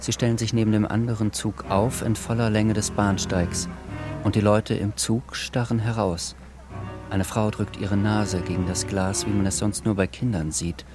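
A man's voice: fairly low pitch, level tone, moderate pace, close to an everyday voice. A man narrating a German radio drama in an observational, literary storytelling style. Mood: observant. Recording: music bed behind narration.

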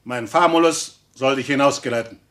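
An actor in a German radio play, playing a stern religious reformer who admonishes in weighty, preacherly speech. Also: male voice, medium pitch, moderate pace, a bit bright, lightly acted. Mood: grave. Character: stern, reproving Protestant reformer and theologian.

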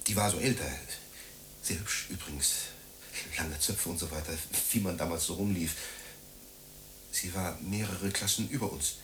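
An actor in a German radio drama playing a needy, self-pitying man who rambles haltingly and repeats himself. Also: deep voice, fairly bright tone, slight background noise, rough recording. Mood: confiding, excited.

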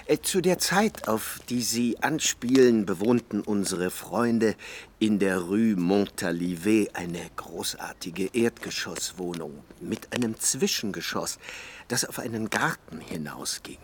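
An actor playing an elderly, pedantic professor in a literary radio drama, halting and savoring his words. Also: fairly low pitch, expressive, a little hiss or hum. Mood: nostalgic. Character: elderly, half-blind professor, reminiscing pedantically.

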